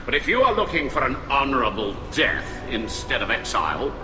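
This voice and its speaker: A man's voice: mid-range voice, wildly swooping pitch, brisk. An actor playing a stern, hardline male alien admiral in a game cutscene. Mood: combative. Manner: sharp, combative. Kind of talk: video game cutscene dialogue. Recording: echoey game mix with music.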